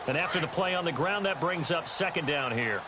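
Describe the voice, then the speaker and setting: An American man calling football play-by-play for a video game in measured, clipped broadcast phrasing. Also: a little high, animated, close to an everyday voice. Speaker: play-by-play sports announcer. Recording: loud game crowd and field noise behind. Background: stadium ambience from a football game.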